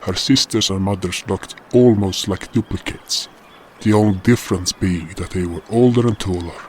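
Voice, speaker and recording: A man's voice: low-pitched, moderate pace, darkish tone, slight background noise, natural voice. A man playing a solemn, powerful guardian hero who narrates his journey in a fantasy audio drama. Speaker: narrator and lead actor. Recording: music behind the voice. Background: music.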